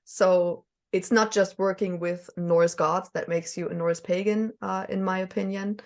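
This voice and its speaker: A woman's voice: fairly low pitch, steady tone, a little slow. A woman from Germany with a German accent, talking as a podcast guest, hesitant with many fillers and small laughs. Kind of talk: casual conversation.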